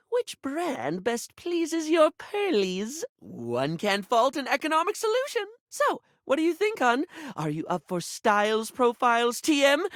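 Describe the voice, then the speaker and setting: Flamboyant male game character, giddy, theatrical, chatty, extremely animated pitch, dry recording. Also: no echo, full character voice. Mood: chatty, showy. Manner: theatrical, gushing, campy, with affectionate pet names. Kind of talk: video game character acting.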